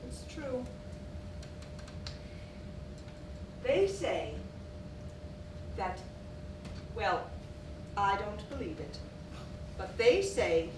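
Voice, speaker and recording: High, swooping, gossipy society lady played by an actress. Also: a bit bright, exaggerated voice. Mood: mock sympathy. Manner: coy, theatrical, drawn-out insinuations. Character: gossiping, insinuating society woman, falsely sympathetic. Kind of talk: stage acting in a period one-act play. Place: theatre stage, recorded from the audience area. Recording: roomy, noisy stage recording from far off.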